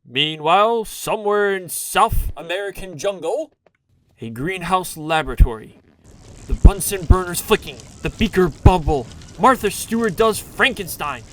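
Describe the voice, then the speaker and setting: High-pitched man, slow theatrical narration. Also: lively intonation, fairly bright tone, slight background noise, poor audio. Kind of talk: dramatic scripted narration, campy retro serial.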